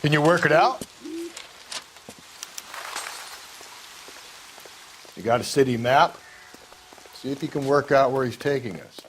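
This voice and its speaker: An actor playing a cynical, foul-mouthed veteran police detective in a video game, blunt and grumbling. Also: male voice, medium pitch, a little fast, a little hiss or hum, natural voice. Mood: sardonic, grumbling. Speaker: detective character. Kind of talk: dramatic video game acting.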